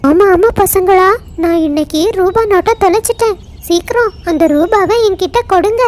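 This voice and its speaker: A woman's voice: very high-pitched, moderate pace, a little mellow. A woman voicing a greedy, pushy crow aunty in a children's cartoon. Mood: greedy, urgent. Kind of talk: children's cartoon story acting.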